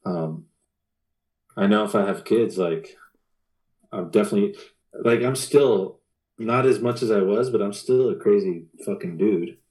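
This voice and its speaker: Blunt man with a low, mellow voice. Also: measured pace, pauses often, poor audio. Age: 30s. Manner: blunt, frequent swearing, repeats phrases. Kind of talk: casual conversation.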